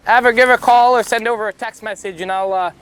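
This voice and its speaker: A man's voice: high voice, steady tone, quick pace, fairly bright tone, no room sound. A man who owns a small business, speaking conversationally in an interview. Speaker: small heating fuel business owner. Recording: clearly noisy location sound.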